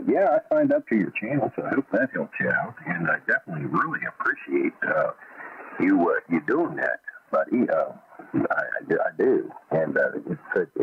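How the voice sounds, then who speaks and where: Man, very deep voice, husky, folksy ham radio chat, narrow radio sound. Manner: friendly, folksy. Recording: radio transmission, narrow band.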